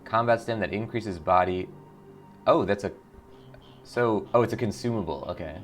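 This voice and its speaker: A man's voice: fairly low pitch, normal speed, faint music behind. A man hosting a game playthrough, reading narration and adding his own commentary. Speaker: gaming channel host. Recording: clear background noise.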